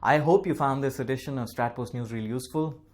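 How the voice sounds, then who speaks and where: A male news presenter with a mid-range voice delivers a scripted explainer. His phrasing is deliberate and formal, laid out in a measured way for the viewer. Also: mid-range voice, heavy background noise.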